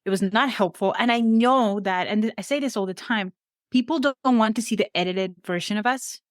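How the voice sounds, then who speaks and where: A woman's voice: medium pitch, fairly quick, no echo. Earnest Venezuelan-accented woman, dry sound. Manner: earnest, reflective, speaks of faith warmly. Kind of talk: conversational interview.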